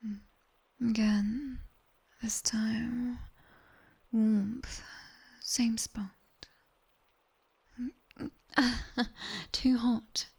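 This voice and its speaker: A British woman playing a sharp-tongued, teasing demoness tutor in a soft, whispered ASMR roleplay. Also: on the high side, fairly even intonation, creaky, breathy edge. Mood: teasing, instructing. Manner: slow, hushed, teasing and bossy.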